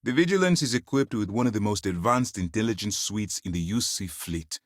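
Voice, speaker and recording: A man's voice: fairly low pitch, expressive, a little fast, no echo, clean studio sound, close to an everyday voice. An actor playing a stern male space navy commander in a video game, speaking in a formal, authoritative way with clipped orders. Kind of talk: scripted game dialogue, dramatic military acting.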